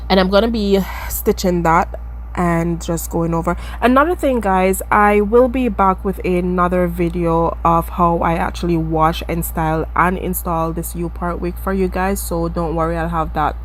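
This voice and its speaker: A woman's voice: fairly low pitch, fairly even intonation, normal speed, slight background noise, low-quality recording. A woman from the Caribbean with a stuffy, congested nose from allergies, talking viewers through a wig-making tutorial in a chatty, self-deprecating way. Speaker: beauty vlogger.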